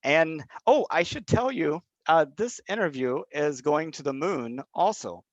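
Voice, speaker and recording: A man's voice: a little high, expressive, a little slow, no room sound. Hesitant man from Texas, dull audio. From Southeast Texas, USA. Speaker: interviewer running a video project. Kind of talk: casual unscripted conversation.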